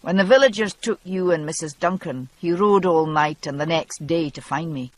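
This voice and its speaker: A narrator voicing a young Scottish man who speaks quietly and matter-of-factly, gruff but gentle, in a husky voice with a Scottish accent. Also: moderate pace, close to an everyday voice. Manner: low, quiet, matter-of-fact. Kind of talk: audiobook character voice.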